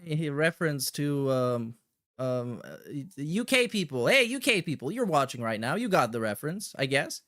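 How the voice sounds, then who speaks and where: Slangy male livestreamer, slightly high voice. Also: sing-song, moderate pace, a bit bright, no room sound, clean studio sound. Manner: slangy, sweary, sarcastic. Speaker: livestream host. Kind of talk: casual chat with shout-outs and commentary.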